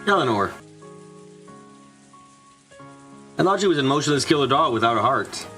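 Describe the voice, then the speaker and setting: A man voicing a blunt, warm-hearted young man who talks informally in a visual novel fan dub. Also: medium pitch, very animated, racing, faint music behind, voice pushed down. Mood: musing.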